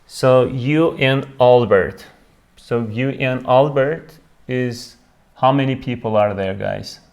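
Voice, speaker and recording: A man's voice: mid-range voice, gravelly, a little mellow, clear, resonant tone. A man teaching a beginner English grammar lesson, switching into to translate and checking "right?" after each point. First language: Turkish. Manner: slow, repeats each word, checks with "right?"; switches between English and Turkish.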